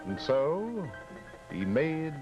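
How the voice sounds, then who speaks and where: Ominous, very slow horror narrator. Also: male voice, a little high, sing-song, dull audio, over music, exaggerated voice. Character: ominous, unhurried male storyteller of horror tales. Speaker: host and narrator of a horror anthology. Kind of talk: old-time radio horror narration. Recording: noisy old radio broadcast.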